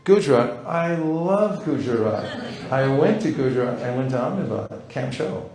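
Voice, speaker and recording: A man of middle pitch teaching a seminar in a roomy hall, his voice ringing around the space. He is playful and acts out little exchanges, switching into quick voices for the people in them. The tone is lively and friendly. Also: a crowd in the background. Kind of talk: live seminar talk. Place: large hall.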